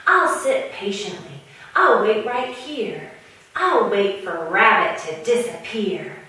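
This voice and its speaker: A big, lazy, hungry lion from a children's puppet tale, played by a woman, scheming and slow of speech. The voice swings up and down and sounds distant in a very echoey room. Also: medium pitch, animated, pushed and bright. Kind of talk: animated storytime puppet storytelling for small children. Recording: roomy, echoing, far from the mic.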